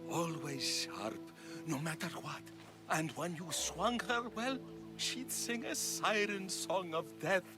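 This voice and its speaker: An actor playing a wily, sentimental aging mercenary with a coaxing, theatrical way of talking, in a video game cutscene. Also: male voice, on the high side, slow pace. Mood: wistful. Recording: music behind the dialogue.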